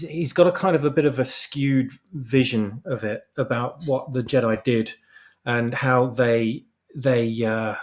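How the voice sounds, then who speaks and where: Guy author on a podcast, normal pitch, explains stuff haltingly, repeats words, casual. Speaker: guest author. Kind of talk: casual interview discussion.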